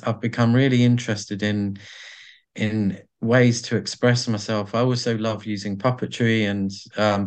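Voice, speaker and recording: A man being interviewed, talking in a reflective, halting way with many restarts as he searches for words. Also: on the low side. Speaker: podcast guest, artist and carer.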